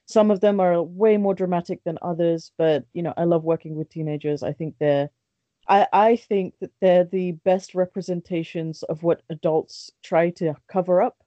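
A woman's voice: on the low side, fairly even intonation, normal speed, warm tone, no echo. A woman, a guest talking candidly and chattily about her life, with lots of restarts and fillers. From born in Bangladesh, raised in London. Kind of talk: casual podcast interview. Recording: dry, dull lo-fi sound.

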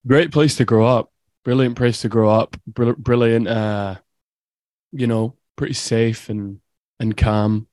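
A man's voice: medium pitch, steady tone, slow pace, a little mellow, no echo. A young man with a Scottish accent, a musician guest on a video call, chatting in a laid-back, rambling way. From Scotland. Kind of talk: casual interview chat.

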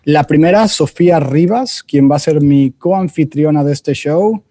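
A man's voice: on the high side, a little slow, warm tone, slight background noise. A Mexican man with a Mexican Spanish accent hosting a podcast interview, giving formal introductions and chatting with "este" fillers. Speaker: podcast host. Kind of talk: conversational interview.

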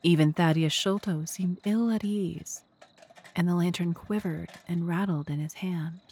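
A woman's voice: fairly low pitch, a little slow, darkish tone, breathy edge. A woman narrating an audio drama from a script, in a storytelling style.

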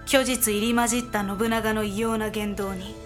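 A woman narrating a historical voice drama from a script in a measured, formal storytelling manner. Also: mid-range voice, steady tone. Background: dramatic music.

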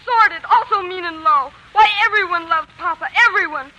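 An earnest, sensitive schoolgirl with a very high, bright voice. She is emotional and fiercely loyal, in a 1940s radio play with faint background noise. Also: fairly quick, lo-fi sound. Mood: indignant, proud. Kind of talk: 1940s radio drama acting.

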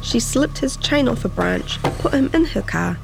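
A woman's voice: a little high, animated, a little slow, gravelly, music in the background, rough recording. A woman journalist with a New Zealand accent, presenting a reported story for a podcast. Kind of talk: interview chat and scripted feature narration.

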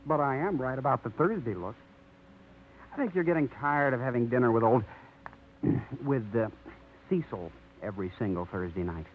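A man's voice: mid-range voice, lively intonation, moderate pace, long pauses, slight background noise, natural voice. An actor playing a teasing, easygoing young male friend with a playful manner, in a 1950s radio drama. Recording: tinny old radio broadcast.

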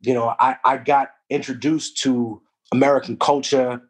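Husky-voiced man from Peru, storytelling. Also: medium pitch, moderate pace. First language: Spanish. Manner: reflective storytelling, street slang, animated asides. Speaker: guest. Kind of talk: casual podcast conversation.

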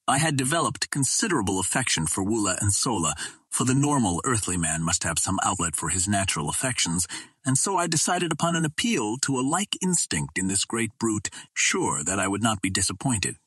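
A man reading a literary adventure novel aloud as an audiobook narrator, in a measured, formal storytelling manner. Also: on the low side, very animated, a bit bright, slightly rough, no room sound. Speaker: audiobook reader.

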